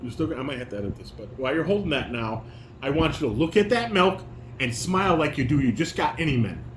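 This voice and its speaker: Lively man coaching, echoey room. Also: fairly high pitch, fast. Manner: instructive, demonstrates and corrects step by step. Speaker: father directing a family table read. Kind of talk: casual coaching, reading stage directions aloud. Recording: roomy, echoey home recording with background noise.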